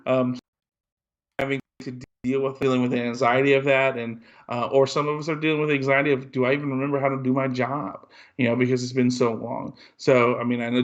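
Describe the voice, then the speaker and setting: A man's voice: medium pitch, lo-fi sound. A man co-hosting a podcast, talking in an earnest, rambling way with lots of ums and restarts. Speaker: podcast co-host. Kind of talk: casual podcast chat.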